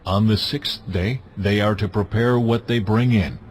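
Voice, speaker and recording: A solemn, authoritative God played by a man in a scripture audio drama. The voice is on the low side and deliberate, issuing commands with gravity. A clear bed of ambient noise sits behind it. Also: steady tone, lightly acted. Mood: solemn, commanding.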